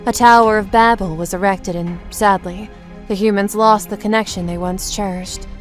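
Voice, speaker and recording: A woman's voice: mid-range voice, steady tone, natural voice. Woman acting a solemn otherworldly female guru over music. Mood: solemn storytelling. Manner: measured, solemn, explanatory delivery. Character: serene mystical woman guru from another realm, gravely explaining. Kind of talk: audio comic book drama acting. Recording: music under the voice.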